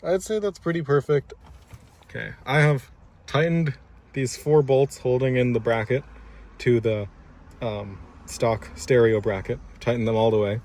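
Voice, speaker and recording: A man's voice: medium pitch, fairly even intonation, unhurried, a little mellow. A man giving a casual, methodical how-to walkthrough, explaining each step as he works inside a car. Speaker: DIY installer presenting his project. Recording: clear background noise inside a car.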